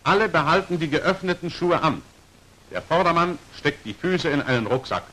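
A male narrator with a fairly high, animated voice delivers scripted 1940s instructional film commentary. His is formal and precisely articulated, with pitch that moves widely from phrase to phrase. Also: moderate pace, rough recording. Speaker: narrator of a military training film.

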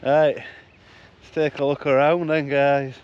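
A man's voice: a little high, flat delivery, slow. A man from Yorkshire with the local accent talks casually to his vlog viewers. He takes his time, chatty and informal with a joking streak. The audio is a little dull, with faint background noise from recording on location. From Yorkshire, England. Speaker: van-life vlogger.

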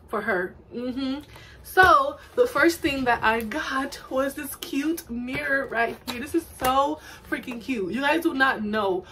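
A woman's voice: fairly high pitch, fairly bright tone, a little hiss or hum. A young woman in her twenties, chatty and gushing, in a casual shopping haul vlog recorded at home. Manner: chatty, gushing, says "y'all" and "girl" a lot. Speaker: vlogger. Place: bedroom at home, indoors.

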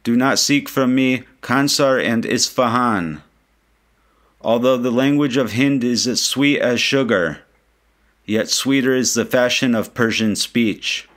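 A man reading translated poetry aloud like an audiobook, in a solemn, measured manner. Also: medium pitch, fairly bright tone. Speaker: reader.

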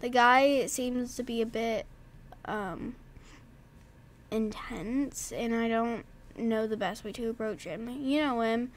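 A woman's voice: on the high side, takes it slowly, a little hiss or hum. A woman reading aloud the lines of a polite, thankful village character in a game, in her own voice.

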